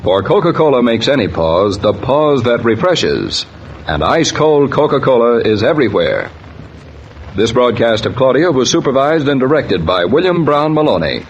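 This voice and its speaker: A genial man announcing and telling stories in a measured, folksy 1940s radio style. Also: medium pitch, big pitch swings. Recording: dull, noisy old radio transcription.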